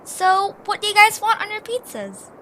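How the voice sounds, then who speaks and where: A woman's voice: very high voice, big pitch swings, normal speed, a bit bright, heavily acted, low-quality recording. A woman voicing a small, timid, earnest young adventurer in an audio drama. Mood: exasperated. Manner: hesitant, earnest. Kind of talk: cartoonish audio drama acting. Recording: faint background noise.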